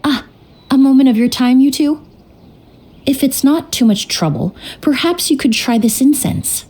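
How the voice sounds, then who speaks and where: A woman voicing a calm, courteous young perfumer in a video game, speaking in a poised, explanatory way. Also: high-pitched, normal speed, breathy edge, full character voice. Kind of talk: game voice acting. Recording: music behind voice.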